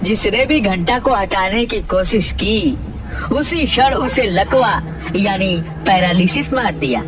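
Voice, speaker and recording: A woman voicing a solemn village elder in an animated folk tale. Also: medium pitch, slow pace, distant mic, noisy background. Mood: grave. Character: solemn village elder telling of a haunted temple.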